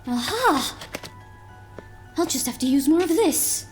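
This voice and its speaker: A woman's voice: high-pitched, sing-song, takes it slowly, soft background music, heavily acted. A woman playing a polite, uneasy owner of a small diner in an audio drama. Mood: absorbed, impatient. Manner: polite, hesitant.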